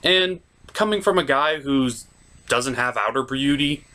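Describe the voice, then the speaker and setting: A man's voice: mid-range voice, a little slow, bright, forceful tone. A man hosting a cartoon review, delivering scripted comedic narration in a wry, self-deprecating way.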